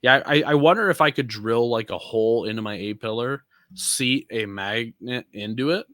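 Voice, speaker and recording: A man's voice: mid-range voice, normal speed, fairly bright tone, dry recording, clean studio sound. A man chatting casually as a podcast panelist about off-road vehicles, thinking aloud in a rambling way.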